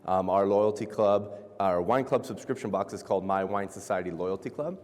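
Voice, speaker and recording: A man's voice: lowish voice, normal speed, a little mellow. A man speaking as moderator of a conference chat, easygoing and filler-heavy. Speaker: fireside chat moderator and presenter. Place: large conference hall. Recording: long hall reverb.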